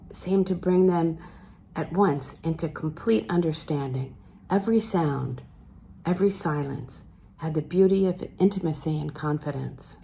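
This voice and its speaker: A woman narrating literary fiction aloud as an audiobook reader, measured and expressive, letting phrase endings fall away softly. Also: on the low side. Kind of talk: scripted literary narration, reading a novel aloud.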